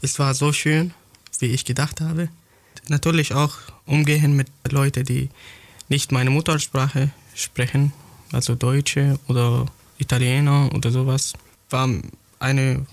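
A teenage boy from Syria answers interview questions in careful, halting German, often searching for the next word. His voice has a gravelly creak, and the sound is dry and close with no room echo. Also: medium pitch, level tone. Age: teens. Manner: halting, searching for words, non-native grammar. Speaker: young student writer interviewed.

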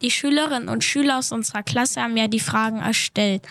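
A woman reading out interview questions for a class radio show, brisk and plain. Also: on the high side, fairly bright tone, no room sound. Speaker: pupil interviewer.

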